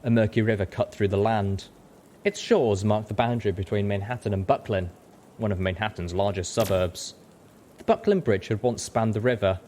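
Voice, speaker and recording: Guy reading a story out loud, lowish voice, bit of rumble in the background. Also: normal speed. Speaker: narrator reading a fan novel aloud. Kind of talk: dramatic audiobook narration.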